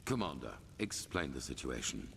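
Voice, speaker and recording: An actor playing a grave, commanding older grandmaster in a fantasy game, speaking formally and solemnly. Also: male voice, sing-song, moderate pace, fairly bright tone, slight background noise, exaggerated voice. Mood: stern, measured. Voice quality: deep, gravelly. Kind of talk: cinematic fantasy game voice acting. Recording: long hall reverb, music behind.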